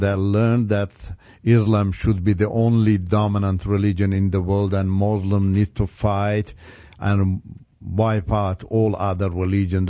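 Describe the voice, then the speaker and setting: A middle-aged man from Iran, an English speaker, sharing his story as a radio guest in an earnest, halting way with many restarts. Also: on the low side, mellow, no echo, clean studio sound. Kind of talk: personal testimony on radio. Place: radio studio.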